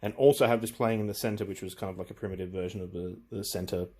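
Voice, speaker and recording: A man giving a casual, unscripted walkthrough of equipment, speaking in a hesitant, halting way as he thinks aloud. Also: deep voice, fairly even intonation, darkish tone. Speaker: hobbyist presenter demonstrating vintage audio gear.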